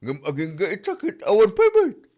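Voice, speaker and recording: A man's voice: sits high, wildly swooping pitch, moderate pace, darkish tone, full character voice. A man playing an exasperated older moneylender, scolding with an Ilocano Filipino accent in a comedy drama. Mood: indignant. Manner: scolding, exclaiming. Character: exasperated older male moneylender demanding repayment.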